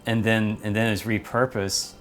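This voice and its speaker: A man explaining history in a measured way, as in a documentary interview. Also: fairly low pitch, little pitch movement. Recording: music behind the voice. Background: plucked guitar music.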